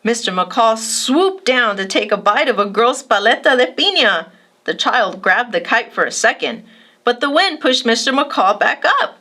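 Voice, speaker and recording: A woman hosts a children's read-aloud. Her voice is fairly high, bright and very animated, and she addresses young listeners directly with expressive narration. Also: normal speed. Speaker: children's storybook reader and host.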